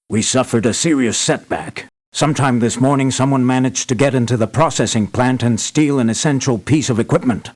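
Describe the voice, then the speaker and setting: Earnest older man, expressive game voice. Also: medium pitch, slightly rough. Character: anxious older man, island estate owner and devoted father. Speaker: employer briefing hired mercenaries. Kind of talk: scripted video game voice acting.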